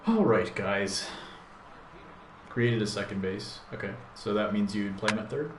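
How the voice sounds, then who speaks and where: Deep-voiced man, echoey room, noisy background. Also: animated, a little slow. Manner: thinks aloud, laid-back, rambling with fillers. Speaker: gaming channel host. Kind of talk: casual live gameplay commentary.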